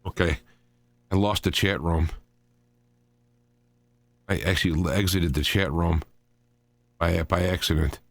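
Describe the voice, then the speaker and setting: A man hosting a talk radio show solo, speaking off the cuff in a halting, rambling way, often repeating and restarting phrases. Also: sits low, steady tone, gravelly, no echo.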